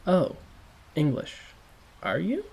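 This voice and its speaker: Man doing a stout pushy businessman, blunt, demanding, high-pitched, gravelly. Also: huge pitch swings, slow pace, a little mellow, exaggerated voice, poor audio. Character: stout, rude, pushy middle-aged businessman.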